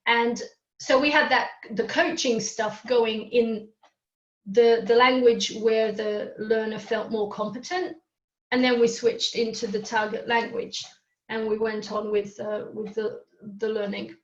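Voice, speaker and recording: A woman whose first language is Hungarian presents a live webinar over a video call, explaining each step and pausing to ask "okay?". Her voice sits a little high. The audio is roomy and somewhat dull. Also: fairly even intonation. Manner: explanatory, often checks in with "okay?".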